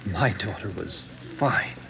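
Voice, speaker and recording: A man playing a grieving middle-aged father in a 1950s radio drama, halting, grave and reproachful. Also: on the low side, lively intonation, bright, forceful tone, full character voice. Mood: bitter grief. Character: grieving, bitter older father of a dead daughter. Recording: muffled, noisy old radio recording.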